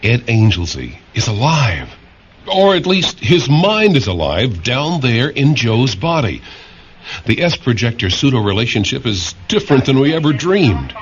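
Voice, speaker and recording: A man's voice: medium pitch, a little hiss or hum, close to an everyday voice. A man playing an earnest, insistent scientist in a vintage sci-fi radio drama, explaining things with dramatic emphasis. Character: earnest male scientist who probes minds, urgent and insistent. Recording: dull vintage radio sound, music underneath.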